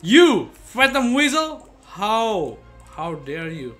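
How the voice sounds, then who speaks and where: Excitable, higher-voiced man streaming a game. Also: slow. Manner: excitable, repeats himself. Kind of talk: reaction commentary over gameplay. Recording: game music behind.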